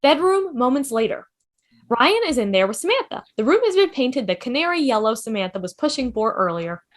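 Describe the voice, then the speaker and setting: A woman's voice: a little high, big pitch swings, brisk. A woman reading scripted stage directions as the narrator of a table read over a video call.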